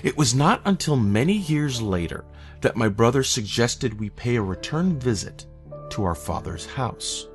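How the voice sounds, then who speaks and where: A man reading a scripted first-person horror story in a measured, somber, literary manner. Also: mid-range voice, sing-song, faint music behind.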